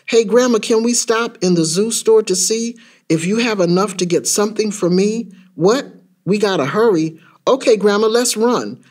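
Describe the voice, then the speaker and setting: A man playing an excitable, bewildered young boy in a rhyming dramatic story, speaking in a childlike, insistent way. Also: high voice, moderate pace, voice pushed up. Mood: excited, playful. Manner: childlike, insistent, repeated questions. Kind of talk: dramatic spoken-word storytelling in rhyme.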